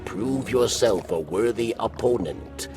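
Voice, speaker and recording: An actor playing a wary, solemn old alien exile in a video game, with guttural, halting, ominous delivery. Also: male voice, on the low side, animated, far from the mic, over music. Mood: grave warning. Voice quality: gravelly, guttural. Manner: halting, deliberate, ominous phrasing. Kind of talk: video game cutscene acting.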